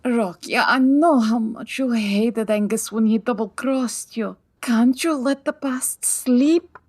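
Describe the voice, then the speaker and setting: A woman playing a proud, wounded middle-aged Turkish bathhouse owner in an audio drama, measured and dramatic. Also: on the high side, a little mellow. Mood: shaken, pleading.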